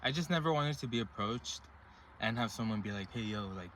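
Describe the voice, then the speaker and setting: A man's voice: medium pitch, a little fast, slight background noise, low-quality recording. A man in his twenties casually telling personal stories to camera on his vlog, rambling with lots of fillers. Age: 20s. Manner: relaxed, rambling, filler-heavy talk. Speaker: vlogger.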